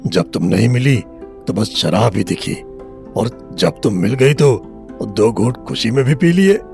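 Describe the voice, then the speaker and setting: A man's voice: medium pitch, animated, measured pace, creaky, a little mellow. An actor playing a besotted, possessive older man who heads the household and drinks, in an audio drama. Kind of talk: Hindi audio drama acting. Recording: music bed behind the voice.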